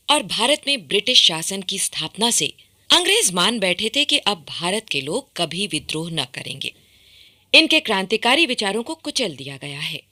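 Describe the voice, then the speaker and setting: A woman delivering formal, emphatic scripted narration for a historical radio documentary, in measured phrases. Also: mid-range voice, sharp tone.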